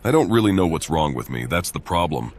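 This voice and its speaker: An actor playing a grim, troubled warrior in a fantasy game, speaking tersely and bluntly. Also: male voice, extremely low pitch, big pitch swings, a little fast, a little hiss or hum, full character voice.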